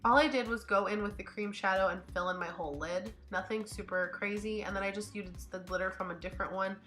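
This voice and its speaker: A woman's voice: mid-range voice, fairly even intonation, fairly quick. A woman beauty vlogger with a cold and a stuffy nose, chatting casually as she narrates her makeup tutorial. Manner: chatty, upbeat, thinks out loud with fillers. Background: faint background music.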